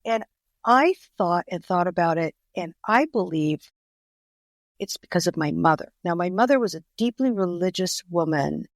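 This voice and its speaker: A woman's voice: on the low side, expressive, normal speed, no room sound. Greek-born woman, dry close sound. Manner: earnest, restarts and repeats words often. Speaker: author and podcast guest. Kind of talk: conversational interview storytelling.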